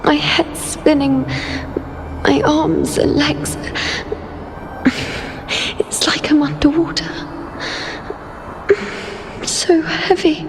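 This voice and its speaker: Young priestess seer, proud n defiant, high voice, slow soft delivery, game audio w/ noise. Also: female voice, level tone, exaggerated voice. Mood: dazed. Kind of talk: game voice acting.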